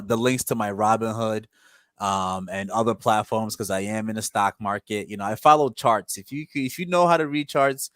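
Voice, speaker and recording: A man from Ghana, a guest in a casual chat, speaking calmly and measured, with filler words. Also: lowish voice, dry recording, studio quality.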